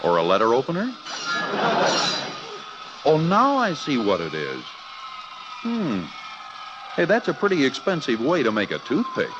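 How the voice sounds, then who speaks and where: A man's voice: mid-range voice, wildly swooping pitch, unhurried, pauses often, dull audio, very noisy. A man announcing a mid-century radio comedy as a folksy, jocular scene-setter with chatty, playful delivery, on an old radio transcription.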